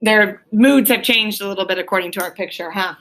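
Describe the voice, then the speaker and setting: A woman narrates a storybook aloud in a bright, forward voice. Her pitch sits in the middle, and she reads expressively as if for a child. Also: a little fast. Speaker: storybook reader.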